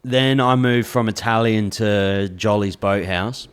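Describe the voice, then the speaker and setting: A man's voice: lowish voice, a little slow, clear, resonant tone. A young adult Australian man hosting a podcast, telling stories bluntly and swearing in nearly every sentence. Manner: swears constantly, blunt storytelling. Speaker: podcast host. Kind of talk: casual podcast chat.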